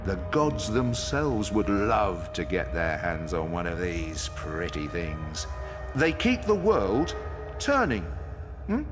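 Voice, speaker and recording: An actor playing an ancient banished trickster god, a smug and sardonic game villain delivering theatrical monologues, savoring each word. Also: male voice, deep voice, sing-song, slow, music in the background, exaggerated voice. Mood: smug, admiring. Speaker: video game villain in story cutscenes.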